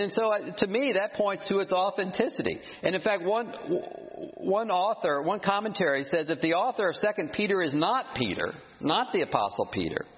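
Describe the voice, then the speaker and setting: A man preaching a sermon, emphatic and animated, scornful of his critics, with frequent restarts. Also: high-pitched. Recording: muffled sound with long hall reverb.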